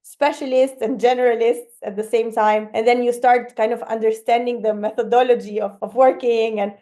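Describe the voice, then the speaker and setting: Reflective woman with a mellow tone. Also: fairly high pitch, fairly even intonation, normal speed. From Albania. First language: Albanian. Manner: reflective, earnest, frequent "you know" and repeated words. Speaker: guest. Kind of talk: casual interview talk.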